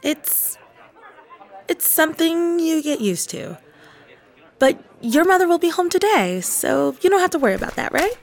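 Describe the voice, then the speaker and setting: A young woman acting in a radio drama as a blunt, protective teenage high school girl who questions her friends emphatically. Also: sing-song, normal speed, creaky, heavily acted. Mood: casual, evasive.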